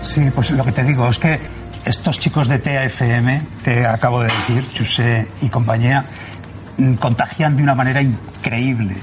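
A man talks casually as a radio host. His pitch is average, and music plays clearly behind him. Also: steady tone, moderate pace. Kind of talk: casual chat about radio.

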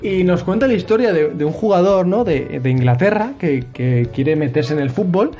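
A man's voice: sits high, animated, moderate pace, dark tone, lo-fi sound, soft background music. A young man from hosting a casual video game chat, rambling with restarts and swearing. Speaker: podcast host.

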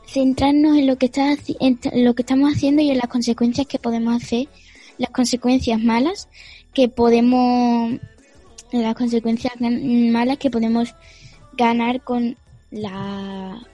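A ten-year-old girl interviews an adult, pausing and restarting as she hunts for words. Her voice is fairly high and mellow. Soft music plays faintly behind her. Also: level tone, low-quality recording. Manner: halting, searching for words. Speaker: child interviewer. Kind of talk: asking prepared questions.

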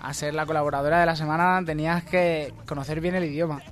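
A man with a fairly high voice and an accent from Spain hosts a comedy radio show. His talk is casual, joking banter, with a little music behind it. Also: fairly even intonation, fast. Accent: Spanish from Spain. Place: radio studio.

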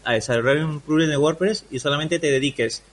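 Man, 40s, from Peru, softened Peruvian accent, podcast guest, relaxed, chatty, tag questions. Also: on the high side, fairly quick. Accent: Peruvian Spanish softened after years in Spain. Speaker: podcast guest, WordPress developer. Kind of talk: casual interview chat.

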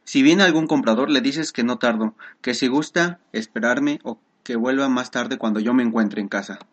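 A woman's voice: rumbling low, normal speed. A woman playing a practical, bossy father in a radio soap, giving instructions matter-of-factly. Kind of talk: Spanish-language radio soap acting.

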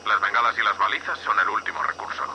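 A man with an accent from Spain playing a gruff, impatient, scornful spaceship captain in a sci-fi audio drama. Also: lowish voice, fast talker, bright, forceful tone, a little hiss or hum, poor audio. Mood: dismissive, irritated. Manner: curt, irritable, scornful. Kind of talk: Spanish-language sci-fi audio drama acting.

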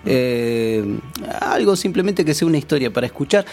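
A man with a Buenos Aires accent tells stories casually and colloquially, with plenty of street slang. His voice sits at an ordinary middle pitch, and music plays behind him. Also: animated, moderate pace, a little mellow. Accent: Buenos Aires Argentine. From Argentina. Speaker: guest, voice-acting teacher. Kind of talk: casual radio chat, anecdotes. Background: background music.

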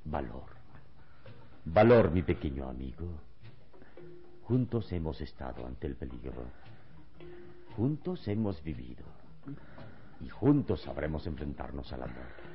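An actor playing a serene, heroic man in a radio serial, speaking in a solemn, measured, dramatic way. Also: a bass voice, big pitch swings, heavily acted. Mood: calm, consoling. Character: serene, heroic adult man consoling his young companion. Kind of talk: Spanish-language radio serial acting. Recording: dull old radio recording with music.

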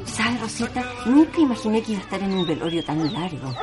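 A woman's voice: medium pitch, very animated, quick pace, loud music behind the voice, lightly acted. An actress playing an easily appalled city woman with a Chilean accent in a radio drama. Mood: uneasy.

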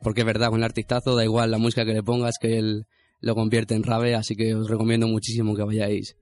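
A man's voice: lowish voice, monotone, fast, darkish tone. A man with a Canarian Spanish accent hosting a music segment in casual conversation. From Canary Islands, Spain. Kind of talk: casual chat.

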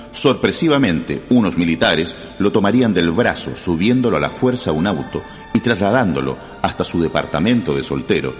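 Very deep, dramatic male narrator. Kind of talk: dramatic scripted true-crime narration. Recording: long hall reverb, music underneath.

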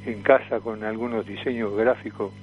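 An elderly man with a Rioplatense Argentine accent reminisces as a radio guest, rambling and halting with frequent fillers. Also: fairly low pitch, steady tone, highs cut off, soft background music. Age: 70s or older. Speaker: guest, veteran cartoonist, impressionist and tango singer.

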